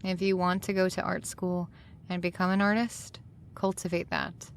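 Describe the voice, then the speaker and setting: A woman playing a demanding, thoughtful high school art teacher in an audio drama, speaking in a deliberate, instructive way. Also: level tone, a little hiss or hum, lightly acted. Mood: earnest, instructive.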